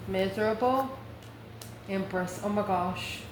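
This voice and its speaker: A woman's voice: medium pitch, slow. A woman hosting a casual, conversational tarot reading, chatty and informal with rambling asides. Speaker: tarot reader and channel host. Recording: echoey room, clear background noise.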